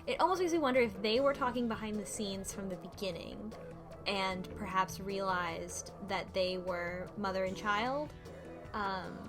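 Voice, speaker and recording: A woman talking casually in a group discussion, in an earnest, analytical way. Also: mid-range voice. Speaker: book club podcast panelist. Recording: music playing behind the voice.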